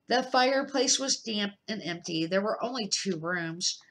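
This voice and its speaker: A woman's voice: medium pitch, animated, a bit bright, rough recording. Middle-aged woman reading a story aloud. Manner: halting, stumbles and corrects words. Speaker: amateur storybook reader. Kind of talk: reading a children's novel aloud.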